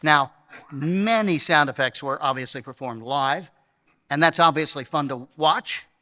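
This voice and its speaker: A man's voice: a little high, a little slow, sharp tone. A man giving an informal, chatty live introduction as a presenter, recorded in an echoey hall before an audience.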